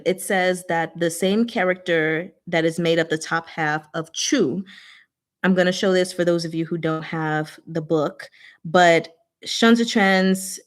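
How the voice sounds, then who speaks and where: Casual, rambling woman chatting to viewers. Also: lowish voice. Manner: informal, rambling, self-interrupting asides. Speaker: host of a book discussion. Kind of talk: casual live book discussion, conversational explaining.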